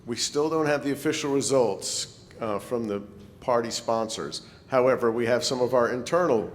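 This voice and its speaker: Man, club presenter, informal talk, casual hesitant delivery, reverberant hall, faint rumble. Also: moderate pace. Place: meeting hall. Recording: echoey hall, rumbling background noise.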